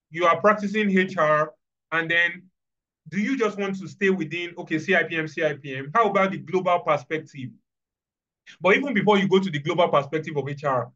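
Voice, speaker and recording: A man's voice: a little high, pushed and bright. A man giving an earnest webinar talk, often restarting and repeating himself over a video call. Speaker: webinar speaker. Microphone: webcam video call. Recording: muffled video call audio.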